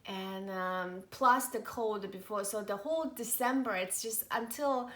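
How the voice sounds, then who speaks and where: A woman in her thirties, a mother of three, chatting casually to camera in a halting, rambling way, her voice nasal from a cold. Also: mid-range voice, fairly bright tone. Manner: rambling, halting, many fillers and restarts. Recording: echoey room.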